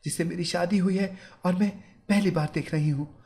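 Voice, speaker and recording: A man's voice: sits high, normal speed, dark tone, pitched above the performer's own voice, natural voice. A man voicing a bitter, furious young bride in an audio story. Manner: emotional, accusing.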